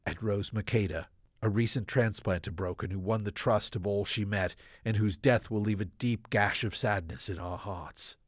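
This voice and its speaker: A man playing a solemn, hopeful townsman reading out a heartfelt letter in a radio drama, measured and eloquent. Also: fairly low pitch, no echo, studio quality, lightly acted. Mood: mournful.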